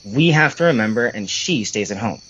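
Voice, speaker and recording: Casting: male actor as sarcastic, complaining brother for horror audio drama, very lively pitch swings. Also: normal speed, husky, dull audio. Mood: grumbling. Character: teasing, grumbling adult brother, sarcastic and irreverent.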